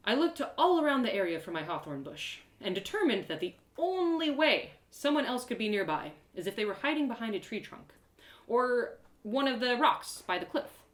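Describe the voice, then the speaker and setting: A woman playing an excitable young heroine who tells her own woodland adventure in a theatrical, self-deprecating audio drama style. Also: a little high, normal speed, echoey room. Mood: cautious. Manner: theatrical, breathless exclamations, gasps, self-deprecating humour. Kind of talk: one-woman audio drama storytelling, first person.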